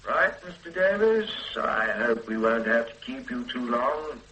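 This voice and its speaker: A man's voice: medium pitch, sing-song, sharp tone, low-quality recording. An actor playing a confident, matter-of-fact male police detective in a radio drama, brushing off his partner's doubts. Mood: dismissive. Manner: brisk, dismissive, matter-of-fact.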